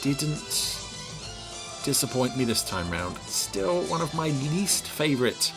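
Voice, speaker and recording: A man's voice: on the high side, sing-song, slow pace, gravelly. A man giving sardonic, exasperated scene-by-scene commentary on a television episode as a podcast reviewer. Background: TV episode soundtrack with music.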